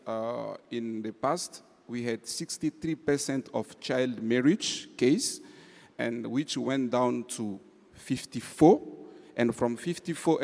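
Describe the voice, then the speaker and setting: Male interpreter, measured and halting, mid pitch, hall echo. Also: darkish tone. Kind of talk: interpreting at a panel. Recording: reverberant hall.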